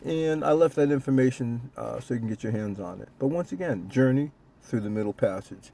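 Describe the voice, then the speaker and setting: An older man, a guest storyteller, talking casually in a halting, informal way. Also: mid-range voice, expressive, gravelly, mellow. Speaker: featured guest storyteller and author. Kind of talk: casual spoken plug.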